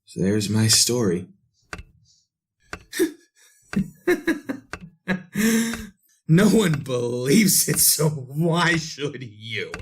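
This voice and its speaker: An actor playing a frantic condemned murderer telling his story in a retro radio drama, halting and repeating himself. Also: mid-range voice, big pitch swings, a little hiss or hum. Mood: bitter, desperate. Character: frantic condemned murderer recounting witnessing his own execution.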